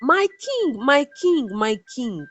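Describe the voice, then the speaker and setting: Woman playing a clever young pleading princess, lively pitch. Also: takes it slowly, highs cut off, heavily acted. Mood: excited. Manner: pleading, respectful.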